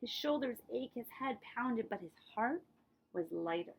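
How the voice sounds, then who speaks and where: A woman reading a picture book aloud like a librarian, with expressive delivery, dramatic emphasis on key words and explanatory asides. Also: on the high side, normal speed, vocal fry, faint music behind.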